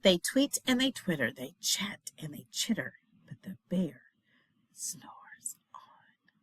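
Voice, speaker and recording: A woman reads a children's storybook at an unhurried pace. Her delivery is expressive and dramatic, sinking into hushed refrains. The sound is dry, with little room to it. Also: fairly low pitch, husky, no echo, in a whisper. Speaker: storybook reader, teacher. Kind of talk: expressive children's picture book read-aloud.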